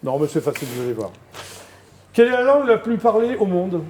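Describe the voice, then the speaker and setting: A man hosting a TV game show, chatty and teasing, quizzing his guests with quick prompts. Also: sits high, sing-song, darkish tone, slight background noise. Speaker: TV show host.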